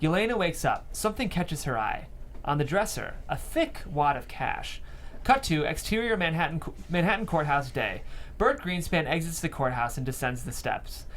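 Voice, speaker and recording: A man's voice: a little high, expressive, normal speed, a bit bright, a little hiss or hum. A man reading stage directions as scripted narration at a screenplay table read.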